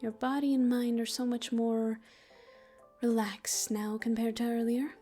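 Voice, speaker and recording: Woman acting as a gentle female demon, mellow dark tone, soothing and intimate, protective, lightly teasing, partly whispered, ASMR roleplay, music behind. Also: on the high side, flat delivery, unhurried, natural voice.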